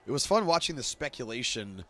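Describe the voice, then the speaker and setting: A man's voice: medium pitch, sing-song, a little fast, pushed and bright, a little hiss or hum. A man giving casual, wry, conversational reaction commentary over video game scenes.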